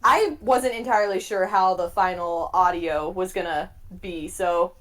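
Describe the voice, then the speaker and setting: A woman's voice: lowish voice, a little slow, fairly bright tone. A woman chatting casually and informally, with a joking way of chiming in. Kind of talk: casual chat.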